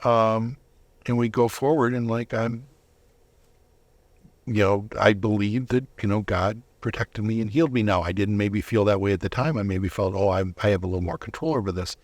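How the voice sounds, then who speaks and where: A middle-aged man giving a conversational personal testimony as an interview guest, reflective and halting, often rephrasing himself. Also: fairly low pitch, no echo.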